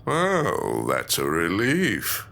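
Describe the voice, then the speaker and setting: Man acting a deep, gravelly, jaded older man. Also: huge pitch swings, very slow, pushed and bright, heavily acted. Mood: cold, condescending. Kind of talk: audio drama acting.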